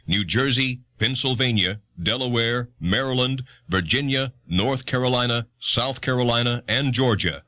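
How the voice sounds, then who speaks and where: A male actor solemnly reading an early constitutional draft, deliberate and declaratory, for an audio documentary. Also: mid-range voice, pushed and bright, no echo, close to an everyday voice.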